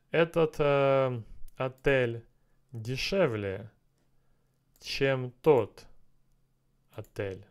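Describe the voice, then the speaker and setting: A man in his thirties on a headset mic in a small room, working through language drills out loud, repeating and translating each phrase and muttering to himself. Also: mid-range voice. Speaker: language learner streaming a lesson. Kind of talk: thinking aloud while doing app exercises.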